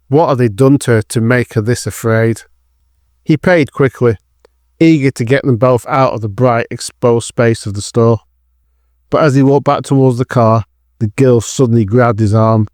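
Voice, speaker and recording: A man's voice: medium pitch, a little mellow, no echo, clean studio sound. A man narrating a scripted thriller drama in the third person.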